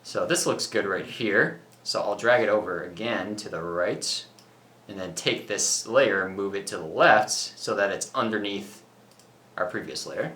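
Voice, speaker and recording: Young male tutorial co-host, bright and punchy, goofy asides, echoey small studio. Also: lowish voice. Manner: jokey, self-mocking, talks through steps. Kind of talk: casual software tutorial with banter. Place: small home recording studio.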